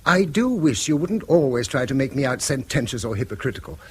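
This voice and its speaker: Earnest, exasperated English father, husky. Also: medium pitch, big pitch swings, fairly quick, a little mellow. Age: middle-aged. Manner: moralising, formal, easily exasperated. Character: earnest, severe, exasperated middle-aged father and schoolteacher. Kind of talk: British radio drama acting.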